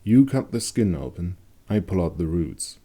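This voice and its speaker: A man voicing a grim veteran monster hunter in a dark fantasy story, speaking gravely and honestly. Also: sits low, sing-song, heavily acted. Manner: grave, hushed, deliberate.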